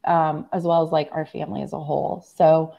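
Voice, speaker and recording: A middle-aged American woman, hoarse from laryngitis, telling a personal story as a guest in a casual video-call interview. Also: deep voice, little pitch movement, a little fast, highs cut off. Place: room at home, on a video call.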